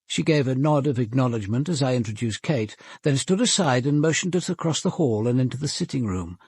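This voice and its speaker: An actor playing a composed, probing senior police detective in a British radio drama, speaking in a measured, formal way. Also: male voice, medium pitch, animated, darkish tone, husky, no echo, clean studio sound. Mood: measured narration.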